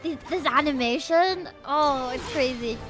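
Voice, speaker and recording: High, excitable woman livestreamer. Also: a little slow, faint music behind. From Philippines. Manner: excitable, expressive exclamations. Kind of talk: casual game stream reactions.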